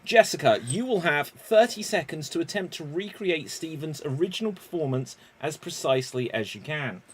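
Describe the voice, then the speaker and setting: A man hosts an improv competition with a fairly high voice. He lays out the rules slowly and clearly, and announces each round's turn. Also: animated. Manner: clear, deliberate explaining of rules. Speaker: improv show host. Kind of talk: game show hosting.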